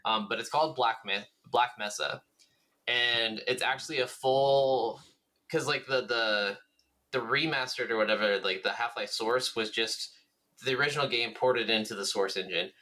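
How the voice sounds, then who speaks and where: A man's voice: mid-range voice, normal speed, sharp tone. A man co-hosting a gaming podcast, talking in a casual, conversational style. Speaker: podcast co-host.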